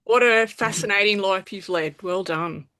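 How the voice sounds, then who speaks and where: A woman hosting an interview, friendly and brisk as she moves the conversation along. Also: mid-range voice, sharp tone. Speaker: interview host.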